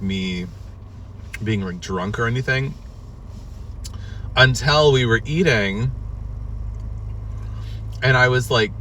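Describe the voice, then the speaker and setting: A sniffly man talks through a rambling, self-mocking story as he hosts a podcast from his car. His voice sits at a middle pitch. It comes from a mic placed away from him, with plenty of road and traffic noise underneath. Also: a bit bright, far from the mic. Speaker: podcast host. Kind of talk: casual solo monologue.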